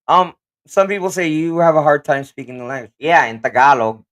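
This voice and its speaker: A man from the Philippines, a native Kapampangan speaker, co-hosting a casual livestream chat and reading out viewer comments with animated emphasis. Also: a little high, brisk. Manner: animated, emphatic, quiz-show asides. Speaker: co-host of a livestream.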